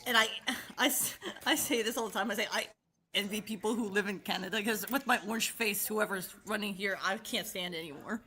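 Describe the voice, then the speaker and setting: A woman's voice: medium pitch, fairly quick, pushed and bright. A woman chatting casually with friends over a voice call, rambling, laughing often and swearing freely. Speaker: channel host.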